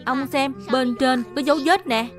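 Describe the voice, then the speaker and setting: A woman's voice: high-pitched, moderate pace, natural voice. A woman voicing an earnest, curious and polite little boy in a children's cartoon, with a childlike manner. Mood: eager. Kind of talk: dubbed children's cartoon acting. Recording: music behind the voice.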